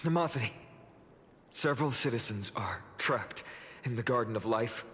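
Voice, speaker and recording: An actor playing an earnest young male leader in a video game, speaking in a measured, formal, solemn way. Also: medium pitch, fairly bright tone, slight background noise, lightly acted. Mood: grave, urgent. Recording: long reverb, music behind.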